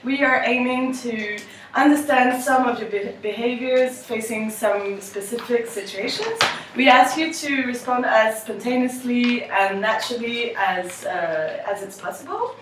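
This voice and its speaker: A woman moderating a focus group, speaking haltingly with many restarts and fillers. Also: fairly high pitch, fairly bright tone, a little hiss or hum. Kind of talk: role-played focus group introduction. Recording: echoey room, far from mic.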